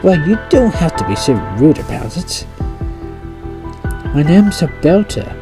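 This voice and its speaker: Guy doing a high proud reporter lady, insistent, game music behind. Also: big pitch swings, measured pace. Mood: defensive. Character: earnest young female reporter, proud and defensive.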